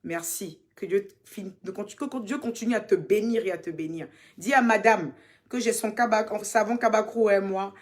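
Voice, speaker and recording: Young woman, Ivorian French accent, casual live Q&A host, teasing and affectionate with fans, soft quiet asides. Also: medium pitch, moderate pace. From Côte d'Ivoire. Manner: chatty and teasing, calls fans "ma chérie". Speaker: social media personality answering fan questions. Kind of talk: casual live Q&A reading viewer comments.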